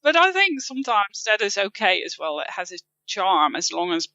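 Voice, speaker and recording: Guest interview: woman, Dutch accent, bright and clear, dry close sound, slightly dull lo-fi audio. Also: medium pitch, sing-song, moderate pace, no room sound. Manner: thoughtful, with frequent restarts and light laughs. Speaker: guest, copywriting teacher. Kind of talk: casual interview chat.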